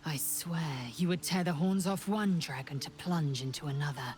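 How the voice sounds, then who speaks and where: A woman playing an admiring, battle-hungry warrior in a video game, with a hushed, breathy and seductive delivery. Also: deep voice, soft background music, natural voice. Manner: slow, deliberate, sultry delivery. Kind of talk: video game character acting.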